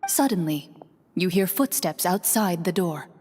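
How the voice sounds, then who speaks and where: A woman playing a composed storyteller in a fantasy game, narrating in a measured, descriptive manner. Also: lowish voice, lively intonation, darkish tone, slight background noise. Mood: atmospheric, calm. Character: composed female storyteller guiding fantasy quest. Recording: long reverb with music behind.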